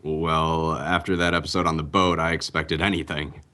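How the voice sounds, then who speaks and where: A man playing a smooth, easygoing and slightly sly suspected impostor in an old time radio drama. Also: extremely low pitch, flat delivery, moderate pace, pushed and bright. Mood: sly, relaxed. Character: smooth, casual man posing as a returned brother.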